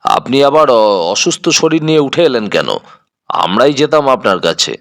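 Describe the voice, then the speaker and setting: A man's voice: mid-range voice, animated, normal speed, fairly bright tone. A man playing a shrewd private detective in an audio drama, composed and probing as he questions people. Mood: probing, polite.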